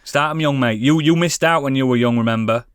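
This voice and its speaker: A man's voice: medium pitch, fairly quick, clear, resonant tone, no room sound, clean studio sound. A British man hosting a podcast in casual banter, chummy and blunt, with slangy tag questions. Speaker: podcast host.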